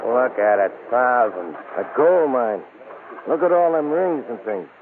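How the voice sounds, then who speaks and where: An actor playing a greedy, excitable henchman with slangy tough-guy talk in an old-time radio crime drama. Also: medium pitch, measured pace. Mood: gleeful, greedy. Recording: tinny, very noisy old radio recording.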